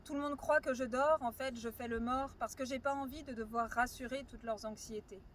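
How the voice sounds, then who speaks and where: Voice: mid-range voice, fairly even intonation, brisk, a bit bright. A slam poet reciting spoken word with a rhythmic, intense delivery that swells and drops. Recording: outdoor recording with clear ambient noise.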